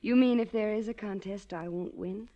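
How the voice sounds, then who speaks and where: An actress playing a glamorous, seductive lady racketeer in a 1940s radio drama, speaking in a sultry, coy, deliberate way. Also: medium pitch, warm tone, natural voice. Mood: coy, confident. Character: glamorous, seductive, self-possessed female racketeer. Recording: dull, lo-fi 1940s radio recording.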